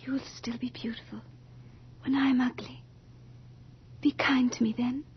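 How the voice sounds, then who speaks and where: An actress playing a young, beautiful female Death in a radio horror drama, theatrical and deliberate, capricious and longing to be mortal. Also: high-pitched, flat delivery. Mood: hushed, pleading. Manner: theatrical, deliberate, breathy at moments. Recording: dull old radio drama with music.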